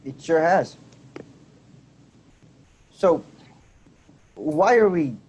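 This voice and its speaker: A man playing an agreeable, easygoing young man of a farm family in a staged play reading, with a husky voice. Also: a little high, expressive, extremely slow, darkish tone, muffled recording, full character voice.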